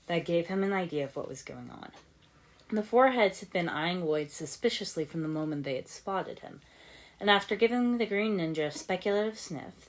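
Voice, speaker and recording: A woman narrating a scripted story as an amateur audiobook reader. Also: on the low side.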